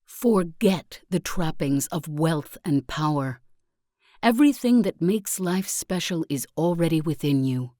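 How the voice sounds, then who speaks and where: Serene female self-help leader for a strategy game: very unhurried, soft-edged tone, earnest, solemn, preacherly. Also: sits low, lively intonation, no echo, studio quality. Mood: earnest, reverent. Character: serene female spiritual self-improvement guru, earnest and uplifting. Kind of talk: scripted game voice lines, motivational speech.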